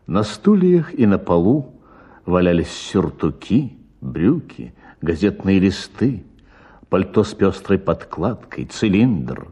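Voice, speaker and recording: A man's voice: low-pitched, big pitch swings, slow, mellow, husky. A man narrating a literary short story in a dramatic audiobook style.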